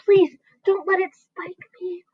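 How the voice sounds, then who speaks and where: A woman's voice: very high-pitched, flat delivery, slow pace, no room sound, phone-quality sound, pitched above the performer's own voice. A woman voicing a timid earthworm who wails and pleads, in a storybook reading. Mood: whiny. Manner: wailing, pleading.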